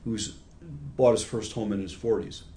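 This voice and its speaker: A man's voice: lowish voice, normal speed, dark tone, a little hiss or hum. A man in his sixties giving patient, conversational explanations with everyday examples, as an expert guest in an interview. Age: 60s.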